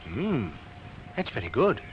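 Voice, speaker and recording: A young soldier who pushes and insinuates, his pitch swinging freely. It is old radio drama with a dull, noisy sound. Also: huge pitch swings, a little slow. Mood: insinuating. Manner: insinuating, insistent. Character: pushy, menacing young soldier pursuing a woman.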